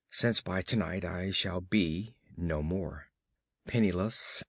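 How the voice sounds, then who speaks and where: A man's voice: lowish voice, no echo, clean studio sound. A man reading a horror story as an audiobook narrator, in a dramatic, theatrical and emphatic way.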